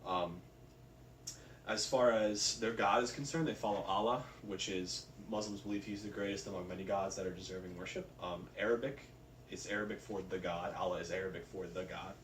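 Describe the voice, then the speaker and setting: Fast-talking man in a roomy recording. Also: sits low, fairly even intonation. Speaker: presenter of a research report. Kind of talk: informal spoken presentation. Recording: roomy, distant mic, faint background noise.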